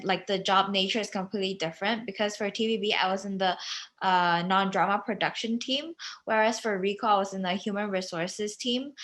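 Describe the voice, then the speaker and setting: A woman in her twenties from Hong Kong, giving an informal online presentation as a recent graduate, with frequent hesitant pauses. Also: medium pitch, a bit bright. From Hong Kong, born in Singapore. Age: 20s. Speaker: recent graduate sharing student experience.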